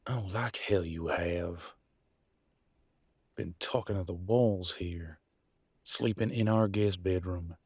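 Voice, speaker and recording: A man playing a weary, embittered werewolf pack leader and husband in a dramatic audio roleplay, with a folksy, sarcastic, pausing delivery. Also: low voice, darkish tone, husky, no room sound, full character voice. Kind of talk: dramatic one-sided relationship roleplay audio.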